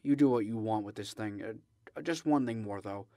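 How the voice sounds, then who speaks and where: An actor playing an earnest, impassioned young small-town man in a radio drama, stammering and halting with emphatic delivery. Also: on the low side, dark tone, no room sound, studio quality, lightly acted. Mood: indignant, impassioned.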